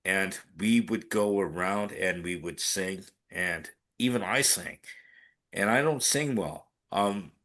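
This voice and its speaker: A man with a very deep voice, telling a story on a casual podcast with many restarts and fillers. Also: takes it slowly, a bit bright. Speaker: podcast co-host. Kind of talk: casual chat.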